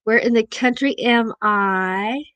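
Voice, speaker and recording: Slow-talking southern Missouri woman. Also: a little high, a bit bright, no room sound. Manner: chatty, reads viewer chat aloud. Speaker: livestream host. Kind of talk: casual live chat.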